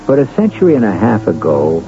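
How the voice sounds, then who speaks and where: A male host narrates a radio mystery with deliberate, portentous phrasing. His voice is lowish and creaky, on a dull vintage broadcast recording. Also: wildly swooping pitch, mellow.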